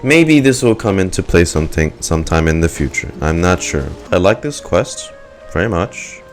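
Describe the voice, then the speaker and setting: A man's voice: low voice, expressive, moderate pace, soft background music. A man giving casual reaction commentary as a gaming streamer, musing informally and picking his words as he goes.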